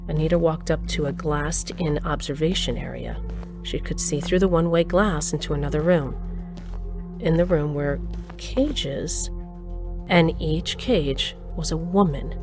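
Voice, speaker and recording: A woman's voice: low voice, measured pace, music in the background. A woman playing a plain-spoken, dryly humorous older narrator telling her adventures in an audio drama. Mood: dry storytelling. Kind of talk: scripted first-person narration in audio drama.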